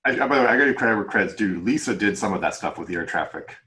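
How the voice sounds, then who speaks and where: A man's voice: fairly low pitch, lively intonation, rapid-fire, sharp tone. A man chatting casually as a podcast host and publisher, earnest and rambling in unscripted talk. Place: remote group video call.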